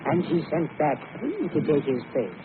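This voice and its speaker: An actor playing a menacing druid leader in an old radio horror drama, making solemn, archaic, theatrical pronouncements. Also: male voice, a little high, wildly swooping pitch, moderate pace. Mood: solemn, ominous. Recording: noisy, tinny old radio recording.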